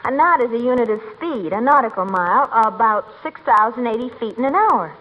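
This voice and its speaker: A woman's voice: fairly high pitch, animated, brisk, muffled recording. An actress playing an earnest, politely know-it-all young woman in 1940s radio comedy on an old recording.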